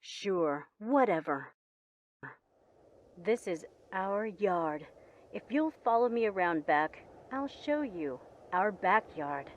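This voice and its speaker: Woman playing a slow, sullen farm girl, muffled. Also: mid-range voice, animated, a little hiss or hum. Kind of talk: comic book voice acting.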